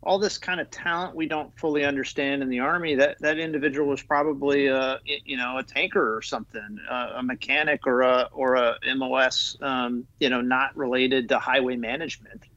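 A man's voice: medium pitch, steady tone, brisk, few pauses, pushed and bright, no room sound, dull audio. A man talking as an Army guest on a podcast, eager and loose, with many fillers and restarts. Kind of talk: conversational podcast interview.

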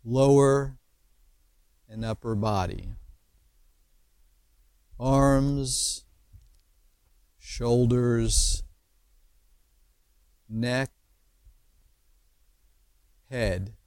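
A man leads a guided imagery meditation in a mid-pitched voice. His delivery is extremely slow and measured, with pauses between short phrases. The sound is dry, with little room around it. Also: darkish tone, clear, resonant tone, no echo. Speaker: meditation teacher.

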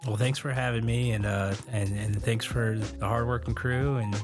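A man answering interview questions about ship maintenance, speaking haltingly with frequent restarts. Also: medium pitch, fairly even intonation, music in the background.

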